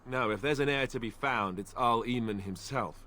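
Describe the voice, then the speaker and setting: A man's voice: medium pitch, sharp tone, natural voice. A man doing a wry, awkward young warrior. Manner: halting, stammering, wry. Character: young male warrior, awkward and self-deprecating.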